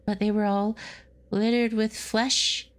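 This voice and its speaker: A woman's voice: medium pitch, little pitch movement, slow. A woman reading a game's story aloud as a streamer, breaking off to joke and laugh between passages. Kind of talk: dramatic reading with commentary.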